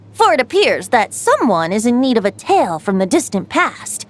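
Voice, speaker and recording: A woman's voice: fairly high pitch, big pitch swings, normal speed, no room sound. A woman playing a playful, youthful bard in a video game, telling tales in a lilting, theatrical, poetic way. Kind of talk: video game voice acting, storytelling.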